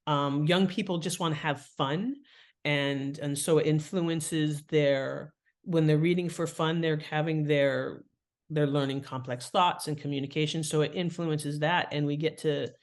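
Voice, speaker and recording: A woman speaking as a guest author in an interview, explaining things conversationally and instructively with examples. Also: sits low. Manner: instructive, illustrates points with examples. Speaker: guest author and writing teacher.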